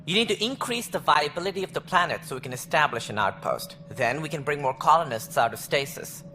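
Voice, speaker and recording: An actor playing a calculating, self-assured alien director in a video game, speaking in a measured, formal, condescending way. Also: male voice, on the high side, lively intonation, sharp tone, faint music behind. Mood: authoritative. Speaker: director of a space initiative. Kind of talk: video game cutscene acting.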